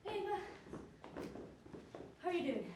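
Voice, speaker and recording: A woman's voice: very high voice, very animated, normal speed. A woman playing a friendly, earnest schoolgirl in an amateur stage play, talking casually. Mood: friendly, hopeful. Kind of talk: amateur stage one-act play acting. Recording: echoey, distant, faintly noisy stage recording.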